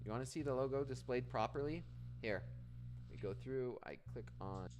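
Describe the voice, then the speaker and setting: A man from Canada talks through a live trading stream at home, answering viewers' comments in a loose, conversational way. His voice sits at an ordinary middle pitch. A faint hum runs underneath. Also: normal speed. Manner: reads chat aloud and answers, with frequent restarts. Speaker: livestream trading host.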